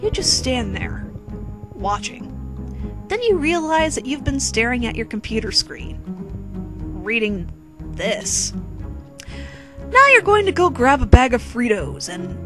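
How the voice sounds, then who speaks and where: A woman with a fairly high voice narrates parody fan fiction theatrically and tongue-in-cheek. Her pitch moves in a very lively way, and quirky music plays behind her. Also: unhurried, pauses often. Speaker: narrator and reader. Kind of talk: scripted comedic dramatic reading of fan fiction.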